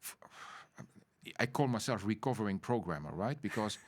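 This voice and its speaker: A low-pitched middle-aged man chats loosely on a podcast. He stops and restarts his sentences often and keeps checking in with "right?". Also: lively intonation. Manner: halting, many restarts and tag questions. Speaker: podcast co-host. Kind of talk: informal unscripted conversation.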